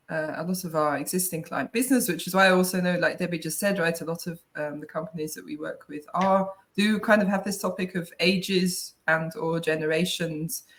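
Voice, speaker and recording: A woman in her thirties co-hosting a live online work talk over a video call, casual and chatty with frequent tag questions. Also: fairly low pitch. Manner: casual, uses tag "right?" often. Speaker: head of client accounts, co-host. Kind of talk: webinar conversation.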